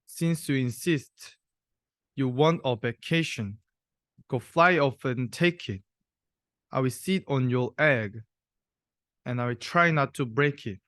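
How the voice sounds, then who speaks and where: A young man reads a rhyming children's tale aloud at a slow pace. His pitch is medium, and the recording is dry, without room sound. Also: fairly bright tone, no echo. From South Korea. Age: young adult. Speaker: university student reader.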